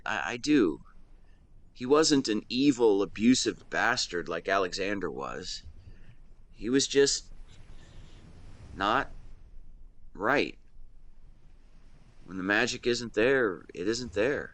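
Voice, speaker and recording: A man's voice: lowish voice, a bit bright, full character voice. A man in his twenties playing a devoted, protective and secretly lovesick best friend in a conversational audio roleplay, earnest and playful with some hesitation. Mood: sympathetic. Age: 20s. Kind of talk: intimate one-sided roleplay acting, conversational.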